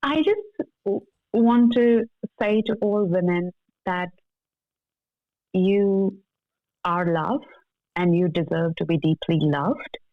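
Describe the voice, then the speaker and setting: A woman's voice: fairly low pitch, takes it slowly, long pauses, dry recording. A woman speaking as a coaching guest in a conversational interview, earnest, emphatic and motivational. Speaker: love coach, podcast guest. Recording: thin phone-like call audio.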